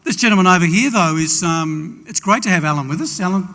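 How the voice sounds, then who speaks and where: A man's voice: high voice, quick pace, sharp tone. A man hosting a seminar, introducing the guest speaker with many hesitations in an expo hall. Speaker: event host introducing the speaker. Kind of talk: introductory remarks. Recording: reverberant hall.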